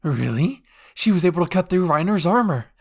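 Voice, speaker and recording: A man voicing an excitable, curious woman scientist who gushes eagerly in an anime fan roleplay. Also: high-pitched, fairly quick, vocal fry, breathy edge, pitched above the performer's own voice, full character voice. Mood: excited fascination. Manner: eager, gushing.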